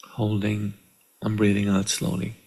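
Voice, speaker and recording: A man leading a guided meditation in a calm, measured way, with deliberate pauses between short phrases. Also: lowish voice, poor audio. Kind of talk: guided meditation, slow and instructional. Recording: live online session.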